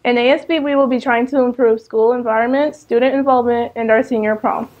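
American teen girl doing a class election speech, bit high, slightly dull audio. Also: steady tone, brisk. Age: teenager, about 14. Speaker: student election candidate. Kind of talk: prepared campaign speech to camera.

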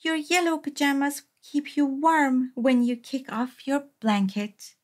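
A woman's voice: high-pitched, fairly even intonation, measured pace, pitched above the performer's own voice. A woman voicing a practical, mildly insistent mother reasoning with her small child in a storybook. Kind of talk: storybook read-aloud.

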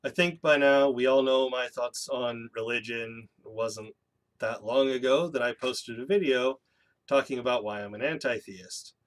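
A man's voice: medium pitch, steady tone. Slightly nasal man with a cold. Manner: candid and measured, with dry self-deprecating asides. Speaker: YouTube channel host. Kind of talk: personal vlog monologue. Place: small home room with bookshelves, indoors. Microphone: webcam in front of a seated speaker.

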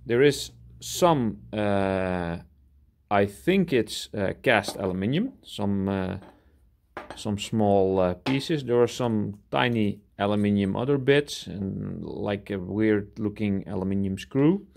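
A man talking casually through a hands-on demonstration in a workshop, halting and thinking aloud as he goes. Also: fairly low pitch, creaky, a little mellow. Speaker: hobby scrapper presenting his own video. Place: indoor cluttered workshop.

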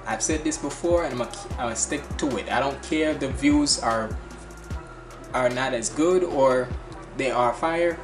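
Young Jamaican man hosting a casual reaction stream on webcam, quick slangy delivery, roomy bedroom sound, music in background. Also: mid-range voice. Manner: fast, animated, slangy chatter with frequent restarts. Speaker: reaction channel host. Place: small bedroom indoors. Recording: echoey room with music playing.

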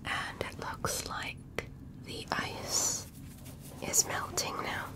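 Slow whispering ASMR woman. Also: low-quality recording. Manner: slow, gentle, reassuring examiner talking up close. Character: gentle female alien medical examiner. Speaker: ASMR roleplay performer. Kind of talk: soft-spoken whispered ASMR medical roleplay.

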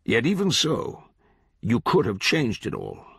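Casting: male narrator as sinister orator judge for literary audiobook, grandiloquent and coaxing, unhurried delivery, very close dry sound. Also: mid-range voice, huge pitch swings, gravelly, fairly bright tone, breathy edge, no echo, pitched above the performer's own voice, heavily acted. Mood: probing. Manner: grandiloquent, deliberate, archaic phrasing. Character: eloquent, menacing, smiling older judge, grandiose and coaxing.

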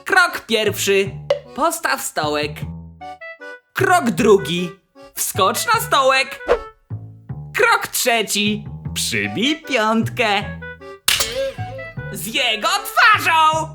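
A woman plays a small cartoon demon, needy and self-important. The voice is high and expressive, unhurried and deliberate, with music underneath. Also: heavily acted. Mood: mischievous. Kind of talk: Polish fan dub of cartoon game shorts.

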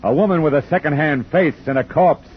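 An actor playing a world-weary private detective in 1950s radio noir, with a wry, hard-boiled manner. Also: male voice, a little high, brisk, noisy background, natural voice. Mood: hard-boiled, punchy. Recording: dull 1950s radio recording.

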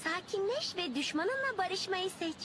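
A woman's voice: very high voice, animated, moderate pace, background noise. A woman voicing a patient, wise little animal daughter in a cartoon, speaking in an instructive, encouraging way. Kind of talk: animated cartoon comedy acting. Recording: music behind the voice.

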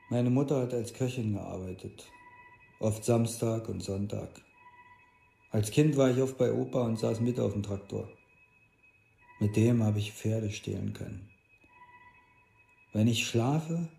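A rough young man recounts himself in clipped, detached fragments for a radio play. His voice is mellow and full of creak. The sound is roomy, with faint music behind. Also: mid-range voice. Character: rough young male offender, laconic, detached. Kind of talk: documentary radio play monologue.

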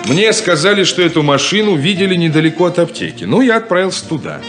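Stern, sardonic elder brother. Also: male voice, high-pitched, sing-song, quick pace. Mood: reproachful. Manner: scolding, sardonic, insistent. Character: Noah, stern, bossy, sarcastic elder brother. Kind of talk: 1970s Soviet radio play acting. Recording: 1970s radio play, music behind.

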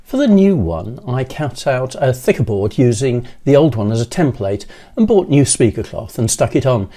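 An older man in his sixties giving scripted how-to narration in a measured, methodical way, as a presenter. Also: medium pitch, big pitch swings, warm tone. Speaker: presenter of an electronics how-to video.

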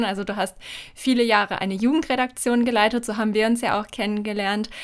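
A woman hosting a podcast who asks friendly, informal interview questions. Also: mid-range voice. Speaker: podcast host.

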